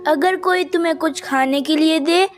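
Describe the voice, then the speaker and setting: A woman's voice: sits high, normal speed, music in the background, lightly acted. A woman voicing small, earnest, careful birds in a children's cartoon moral story. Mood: earnest caution. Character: small birds: anxious mother and her cautious chicks.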